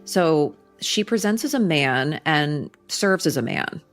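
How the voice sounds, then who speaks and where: A woman reporter giving a news story in a measured, deliberate way. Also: sits low, animated, faint music behind.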